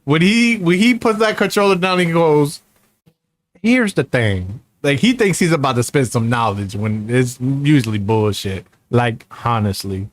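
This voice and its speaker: A man's voice: medium pitch, lively intonation, a little slow. A man in his thirties, a streamer reacting to a video in casual, mocking, slangy banter. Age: 30s.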